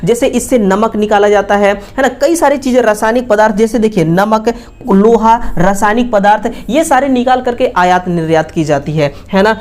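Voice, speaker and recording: A woman with an Indian accent teaching exam questions, repeating key facts as quick rhetorical questions with frequent checking tags. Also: medium pitch, a little mellow. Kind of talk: exam question analysis lesson.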